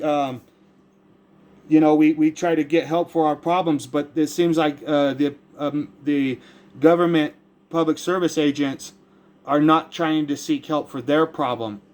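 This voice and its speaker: Halting, higher-voiced American man making a complaint. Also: darkish tone. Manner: halting, searching for words, with frequent hesitations and repeats. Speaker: caller making a complaint to a public agency. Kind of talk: earnest, rambling phone complaint.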